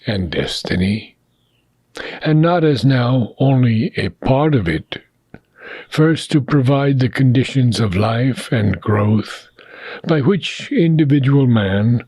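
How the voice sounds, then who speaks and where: A man reading a book of spiritual philosophy aloud in a solemn, deliberate manner, pausing often between phrases. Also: mid-range voice, a little mellow, slightly rough, no room sound. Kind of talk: scripted audiobook reading.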